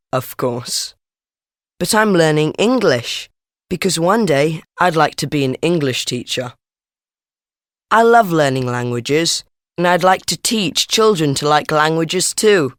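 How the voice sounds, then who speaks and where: A woman's voice: low-pitched, no echo, clean studio sound. A woman voicing an earnest young girl from Egypt in a scripted textbook listening, reading carefully. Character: young girl from Cairo learning English.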